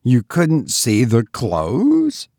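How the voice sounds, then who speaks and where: A man voicing a peremptory, indignant mistress of the house in a storybook reading, with a sharp, impatient, demanding manner. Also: medium pitch, huge pitch swings, very slow, creaky, dry recording, studio quality, heavily acted.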